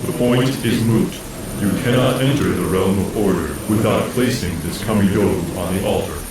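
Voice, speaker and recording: A solemn spirit guide for a video game. His low voice commands with grave formality. It echoes heavily and sounds distant, with clear noise around it. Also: normal speed. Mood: solemn, instructive. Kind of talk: video game cutscene acting.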